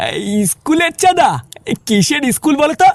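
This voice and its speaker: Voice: sits high, animated, brisk, dry recording. A performer voicing a stingy, suspicious village uncle in a comic cartoon, grumpy, questioning and dismissive.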